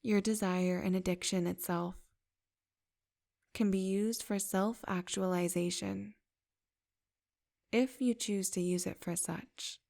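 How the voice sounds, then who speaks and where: Slow gravelly woman guiding meditation. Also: mid-range voice, steady tone. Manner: soft, unhurried, affirming. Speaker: meditation guide.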